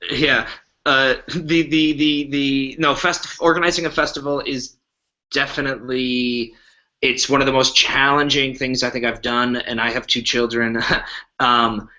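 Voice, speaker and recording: A man's voice: medium pitch, flat delivery, unhurried, pushed and bright. A man chatting casually as a podcast guest who organizes festivals. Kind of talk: casual chat. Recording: dull lo-fi recording.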